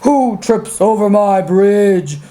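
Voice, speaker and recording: A big, bad storybook troll, acted out by a woman, hungry and menacing. The voice drops low and growls into roars, with slow, weighty delivery for young listeners. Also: animated, warm tone. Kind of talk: children's storybook character voice.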